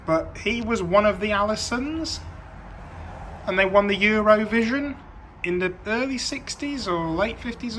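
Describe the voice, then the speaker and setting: A man's voice: a little high, pushed and bright, slight background noise. A British man giving a casual, unscripted show-and-tell, thinking aloud and correcting himself in a hesitant way. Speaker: vintage audio collector presenting a machine.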